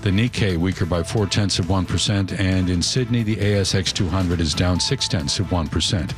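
A man reading a scripted radio news bulletin in a crisp, even newsreader delivery. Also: low voice, moderate pace, music in the background.

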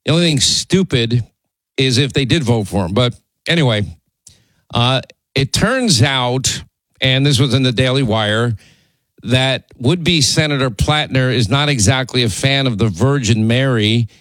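A man's voice: mid-range voice, animated, measured pace, a bit bright, no room sound, rough recording. A middle-aged male talk radio host rambles through a political monologue, full of asides and fillers. His voice is still recovering from laryngitis. The studio sound is dry and close. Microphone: studio microphone.

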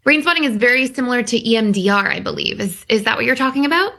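A woman's voice: on the high side, animated, fast talker, creaky, a bit bright. A woman speaking as an expert guest on a radio call-in show, explaining things conversationally.

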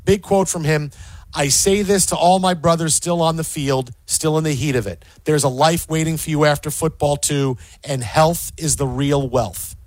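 A man co-hosting a casual sports talk show, animated and often repeating words as he gets a thought going. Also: on the high side, moderate pace, no echo, poor audio. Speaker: sports podcast co-host.

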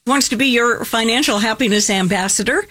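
Talk-radio host, middle pitch, chatty with quick back-and-forth. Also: sharp tone.

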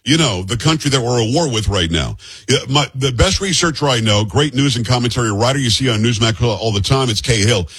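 An American man hosts a conversational news show. His voice is husky and recorded very dry. He talks informally and plainly, with an incredulous streak. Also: mid-range voice, lively intonation, a little fast, fairly bright tone, no room sound. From Florida, United States. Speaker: news commentary show host. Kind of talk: conversational news commentary interview.

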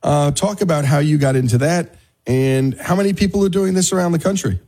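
A man's voice: on the high side, brisk, mellow, slight background noise. A man hosting a conversational interview, putting questions to a guest and responding to the answers. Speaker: interview host.

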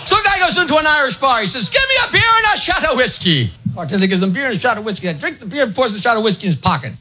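A man telling stand-up jokes in a punchy, clipped style, shouting out the dialogue and punchlines. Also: very high voice, very animated, racing. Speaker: stand-up comedian.

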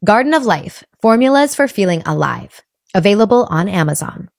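A woman's voice: medium pitch, sing-song, moderate pace, creaky, dry recording, studio quality. A woman reading a scripted product commercial in a friendly, explaining tone. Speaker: ad reader.

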